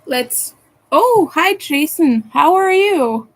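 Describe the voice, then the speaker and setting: A French Canadian woman from Montreal chatting casually on a crochet livestream, answering her chat in a soft, hesitant way with many fillers and small chuckles. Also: high voice, animated, takes it slowly. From Montreal, Quebec, Canada. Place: her own room at home.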